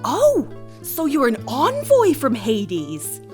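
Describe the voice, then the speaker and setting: A woman's voice: high voice, huge pitch swings, slow pace, faint music behind, full character voice. A woman playing a flamboyant, motherly deity of wine and feasting in a fantasy audio roleplay, coaxing, playful and theatrical. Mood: doting, delighted.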